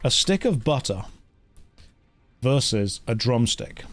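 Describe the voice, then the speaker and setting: A man's voice: medium pitch, big pitch swings, takes it slowly, a bit bright. British male streamer, mid-range voice, casual and jokey, faint music behind. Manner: playful, wry, jokey. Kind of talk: casual livestream chat running chat polls.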